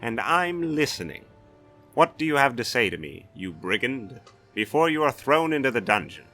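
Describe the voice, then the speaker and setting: A man's voice: medium pitch, sing-song, normal speed, pushed and bright, soft background music, voice pushed up. A man voicing a blustering, sardonic, authoritative castellan in a fantasy audiobook. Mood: commanding, scornful. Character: blustering, weary-wry male castellan of a fantasy city.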